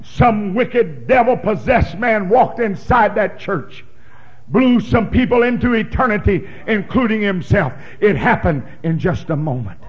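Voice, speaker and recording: A man preaching a sermon, reading scripture in a big booming voice and breaking into fervent shouts. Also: expressive, measured pace, slightly rough, muffled recording. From Oklahoma. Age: 60s. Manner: fervent, emphatic pulpit delivery with sudden shouts.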